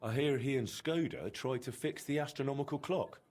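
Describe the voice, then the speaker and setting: An actor playing an earnest young blacksmith in a video game, curious and direct. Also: male voice, medium pitch, normal speed, a little mellow, natural voice. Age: young adult. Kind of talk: video game dialogue acting.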